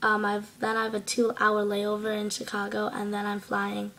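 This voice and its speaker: A teenage girl with a hoarse voice from a cold, rambling casually with many fillers into a laptop webcam in her bedroom. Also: mid-range voice, completely flat delivery, normal speed, fairly bright tone, a little hiss or hum. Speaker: exchange student vlogger. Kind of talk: casual video diary. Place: small bedroom indoors at night. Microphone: laptop webcam at close range.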